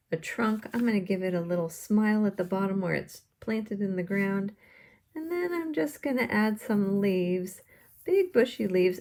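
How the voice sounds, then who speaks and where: A woman's voice: medium pitch, creaky, darkish tone. A woman art teacher giving step-by-step drawing instructions in simple, encouraging language, often putting questions to the viewer.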